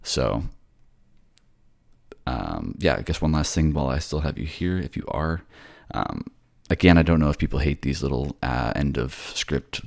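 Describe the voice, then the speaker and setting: A man's voice: a bass voice, animated, normal speed, vocal fry, darkish tone. A man who voice acts for his own channel, speaking directly to his listeners as narrator and creator. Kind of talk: narration and casual sign-off to listeners.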